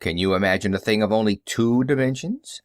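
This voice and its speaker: A man's voice: lowish voice, a little fast, no echo, clean studio sound, lightly acted. A man voicing an impatient old male scientist in an audiobook, snapping and emphatic as he probes. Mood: excited, probing.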